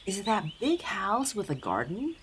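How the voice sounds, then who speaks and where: A woman's voice: medium pitch, wildly swooping pitch, normal speed, a little hiss or hum. A woman voicing a nervous young female mouse in an audio drama, apologetic and eager to please. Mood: flustered. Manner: flustered, deferential. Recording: faint outdoor ambience with birdsong.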